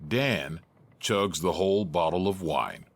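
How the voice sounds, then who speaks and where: A man serves as narrator, reading scripted stage directions for an audio drama. His voice is very low and his pace is markedly slow. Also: animated, creaky, dry recording.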